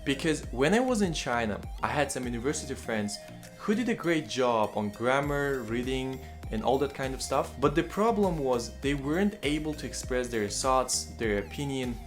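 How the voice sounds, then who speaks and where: Young man, friendly vlogger, mid pitch, faint music under his voice. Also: normal speed. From Kazakhstan. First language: Kazakh. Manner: friendly, addresses viewers as guys, lively emphatic starts. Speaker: language-learning vlogger. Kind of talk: casual study-tips talk to camera.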